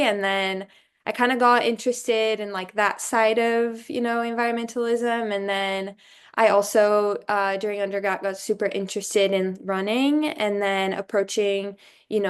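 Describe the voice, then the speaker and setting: A young woman from Brazil, a former student, talking through her path in a relaxed interview, thinking aloud with many "um"s and "you know"s. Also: mid-range voice, clear, resonant tone. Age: young adult. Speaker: alumna guest. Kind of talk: casual interview conversation.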